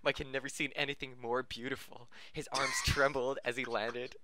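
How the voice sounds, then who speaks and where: A man's voice: medium pitch, little pitch movement, moderate pace, pushed and bright, no room sound. A man reading fan fiction aloud on a podcast, stumbling over words and correcting himself, often amused and breaking into laughter. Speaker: podcast reader.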